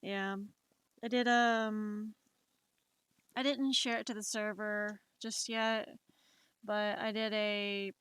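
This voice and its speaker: A young adult woman chatting casually while she draws on a live stream, unhurried and rambling, with many fillers and restarts. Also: mid-range voice, level tone, fairly bright tone, smooth, dry recording. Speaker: livestreamer.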